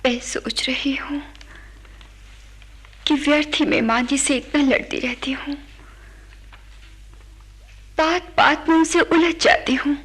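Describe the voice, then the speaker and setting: High-voiced, slow, hesitant wife. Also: female voice, a bit bright, slight background noise. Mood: regretful. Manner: halting, tentative. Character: hesitant, anxious daughter-in-law and wife. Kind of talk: radio drama acting.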